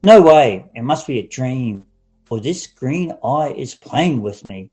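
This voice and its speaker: A man's voice: medium pitch, lively intonation, slow pace, mellow, breathy edge. A man voicing a frightened, worried young girl in a storybook. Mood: alarmed. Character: frightened, fretful young fairy-tale girl.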